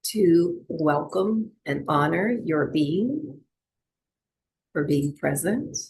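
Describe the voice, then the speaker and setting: A woman teaching yoga and breathwork, gently guiding a relaxation meditation with soothing, unhurried instruction and long pauses. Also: low-pitched, lively intonation, gravelly, low-quality recording.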